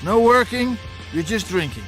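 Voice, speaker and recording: Tipsy harbour barfly, high-pitched, forceful bright tone, chatty, insinuating, video game. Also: male voice, expressive, moderate pace, soft background music. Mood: jovial, sly. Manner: chatty, insinuating, simple clipped phrasing. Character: chatty, tipsy, leering harbour bar local.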